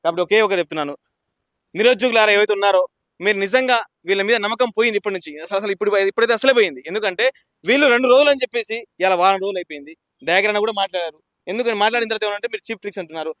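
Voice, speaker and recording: A man's voice: high voice, very fast, a bit bright, dry recording. A young man with a Telangana Telugu accent, an activist arguing insistently and challengingly, often repeating himself. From Nalgonda district, Telangana, India. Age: young adult. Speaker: activist for unemployed job seekers. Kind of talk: heated argument and appeal.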